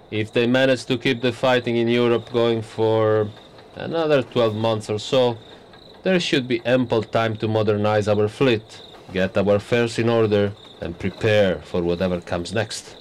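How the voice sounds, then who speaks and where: A man's voice: on the low side, slight background noise, close to an everyday voice. An actor playing a blunt, self-satisfied prime minister in his sixties, wry and teasing, in a radio drama. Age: 60s. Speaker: politician character.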